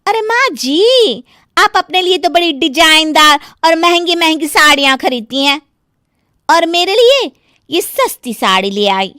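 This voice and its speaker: A young daughter-in-law acted by a woman in a dramatised moral story, theatrical and wheedling, with a haughty streak. Her voice is high and bright, and she stretches her words slowly in a very dry recording. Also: animated, no room sound, exaggerated voice. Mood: haughty, complaining. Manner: theatrical, drawn-out, wheedling delivery. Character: young village-born daughter-in-law, sugary then haughty and demanding. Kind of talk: dramatised Hindi moral story, cartoon-like acting.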